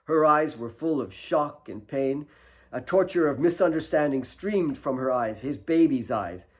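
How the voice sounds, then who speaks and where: A man's voice: a little high, lively intonation, unhurried. A man reading his own novel as a dramatic, scripted audiobook narration.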